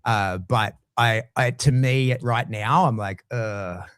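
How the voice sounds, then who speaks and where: A middle-aged Australian man talking casually as a guest, blunt and swearing freely, with lively swings in his voice. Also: medium pitch, slow, dry recording. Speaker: guest dog trainer. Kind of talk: casual conversation.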